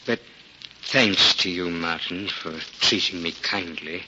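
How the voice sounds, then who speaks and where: An actor playing a weary, humble old soldier in a 1940s radio drama, hesitant and halting in his speech. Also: sits low. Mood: musing, grateful. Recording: noisy, muffled 1940s radio recording.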